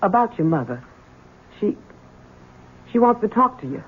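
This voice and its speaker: An actress playing a deferential, kindly elderly housekeeper in a 1970s radio drama, speaking hesitantly. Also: female voice, medium pitch, big pitch swings, moderate pace, slightly rough. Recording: narrow-band old radio broadcast.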